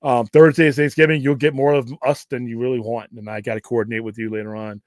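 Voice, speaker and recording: A gruff American man hosts a casual question-and-answer livestream, recorded dry and close. He rambles off the cuff in a medium-pitched voice, with casual swearing mixed in. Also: flat delivery, quick pace, a little mellow, no room sound. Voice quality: gruff, self-described Cookie Monster voice. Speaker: host of a pop culture livestream show.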